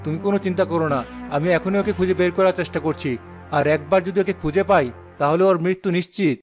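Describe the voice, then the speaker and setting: A man's voice: high voice, steady tone, fast talker, darkish tone, natural voice. A man voicing a callous young husband in a cartoon, curt and stern. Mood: menacing. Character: callous young husband, obedient to his mother. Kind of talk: cartoon voice acting. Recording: music behind the voice.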